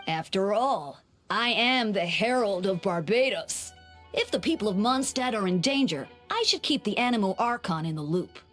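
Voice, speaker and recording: A woman's voice: medium pitch, animated, normal speed, a bit bright, lightly acted. A woman voicing a breezy young male church deacon in a video game, playful and self-assured. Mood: playful, confident. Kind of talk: video game character acting. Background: faint background music.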